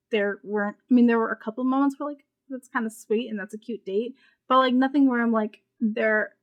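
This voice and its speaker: Woman giving a casual book review. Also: on the high side. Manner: informal, thinking aloud in loose, rambling sentences.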